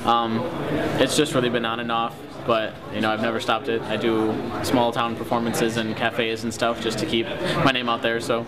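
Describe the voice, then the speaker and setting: A man's voice: mid-range voice, monotone, moderate pace. A young man giving casual interview answers with many ums, laughing easily. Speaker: interviewee, singer and language creator. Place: busy convention hotel. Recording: loud crowd noise. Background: loud crowd chatter.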